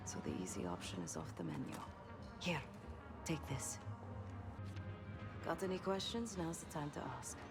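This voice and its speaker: A poised woman spy in a video game, posing as a trophy wife. Her voice sits low with a creaky edge, and she briefs in a measured, knowing, conspiratorial way over loud music. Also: sing-song, exaggerated voice. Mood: cool, businesslike. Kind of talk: game cutscene acting, briefing.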